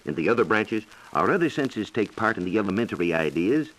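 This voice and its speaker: Low-pitched American man, lively 1940s radio explainer. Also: rough recording. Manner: explanatory, measured, lecturing. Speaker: science reporter and guest expert. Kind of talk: scripted educational radio dialogue.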